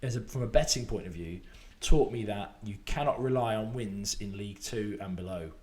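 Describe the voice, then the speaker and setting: A man with a hoarse voice, chatting casually as a podcast co-host and laughing at himself. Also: fairly low pitch, moderate pace, a little mellow. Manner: chatty, self-mocking, laughs easily. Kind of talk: casual chat.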